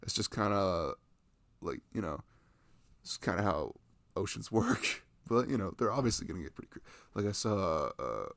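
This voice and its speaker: A man's voice: lowish voice, sing-song, moderate pace, creaky, fairly bright tone, dry recording. A young adult man chatting casually and enthusiastically about video games in a rambling, slangy way, close to the microphone.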